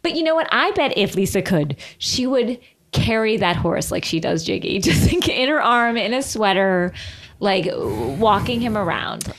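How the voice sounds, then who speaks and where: A woman's voice: medium pitch, moderate pace. A woman co-hosting a podcast, chatting casually and comedically about reality TV with a sarcastic manner and mocking little imitations. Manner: animated, sarcastic, swears and mimics others. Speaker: podcast co-host.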